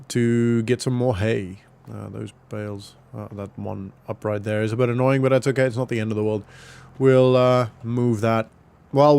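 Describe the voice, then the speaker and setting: Croaky-voiced man rambling over gameplay. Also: mid-range voice. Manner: relaxed, rambling, many fillers. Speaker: gaming YouTuber. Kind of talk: casual gameplay commentary.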